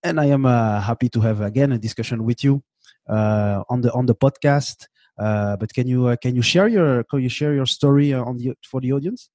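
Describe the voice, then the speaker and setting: A man's voice: mid-range voice, brisk, a little mellow, no echo, clean studio sound. A man hosting an interview podcast. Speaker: podcast host.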